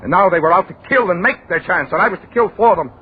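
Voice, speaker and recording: An actor playing a bewildered, horrified man who tells his own dreamlike story in halting, dramatic fragments, in a 1940s radio drama. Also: high voice, animated. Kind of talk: 1940s radio drama, first-person narration. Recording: muffled, noisy old radio recording.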